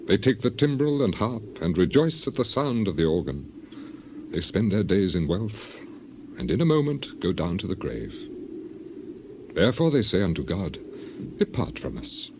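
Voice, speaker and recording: A man's voice: fairly low pitch, darkish tone. An actor playing a bitter, defiant, suffering man of ancient times in an impassioned scripture dramatization. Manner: impassioned, declamatory. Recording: clearly noisy background.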